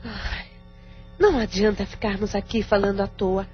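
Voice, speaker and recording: A woman's voice: medium pitch, steady tone, moderate pace, lightly acted. A Brazilian woman playing a stern, indignant but supportive middle-aged sister in an audio novela. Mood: stern, concerned. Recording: dull lo-fi audio with music bed.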